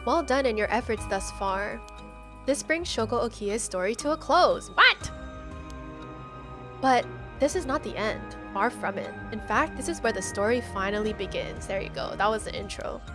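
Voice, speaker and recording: Casting: female game streamer, on the high side, excitable and slangy, reads on-screen text and chats, clear background music. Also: normal speed. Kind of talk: casual game-stream chat mixed with reading game text aloud.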